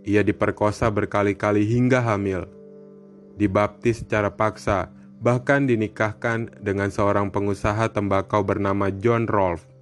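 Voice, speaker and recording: Low-voiced man narrating over music. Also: fairly even intonation, normal speed. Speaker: podcast host. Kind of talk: scripted narration for a horror podcast. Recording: music bed behind the voice. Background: background music.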